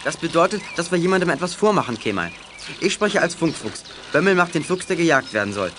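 A male actor playing a bright, confident boy in a German children's radio play. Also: a little high, big pitch swings, quick pace, background noise. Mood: explanatory. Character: bright, confident boy, explaining things to friends.

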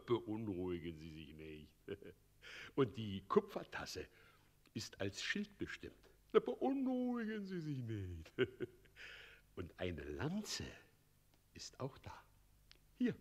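An actor playing a fawning, addled old town mayor with a pompous, ceremonious manner in a German radio play. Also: male voice, fairly low pitch, wildly swooping pitch, slow, pauses often, heavily acted. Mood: fawning, muttering. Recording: long echoing hall reverb.

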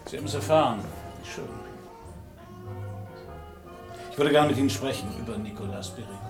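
A man's voice: medium pitch, very fast, over music, natural voice. An actor playing a world-weary private detective in a radio crime drama, narrating his case in a laconic, dry way. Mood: probing, cool. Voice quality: husky, gravelly.

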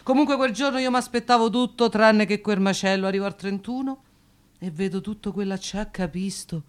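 A woman's voice: mid-range voice, fairly quick, smooth, close to an everyday voice. An actress playing a brash, mocking young working-class woman in a radio play, with a slangy, drawling Roman accent. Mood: weary, scornful. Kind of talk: theatrical radio drama dialogue.

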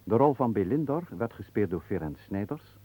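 Male announcer, lowish pitch, dark tone, husky, formal scripted reading. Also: animated, normal speed. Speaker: radio announcer.

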